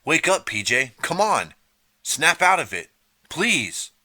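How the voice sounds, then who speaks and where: A man voicing a frantic teenage boy prankster who shouts in a shrill, trembling voice. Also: very animated, takes it slowly, heavily acted. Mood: panicked.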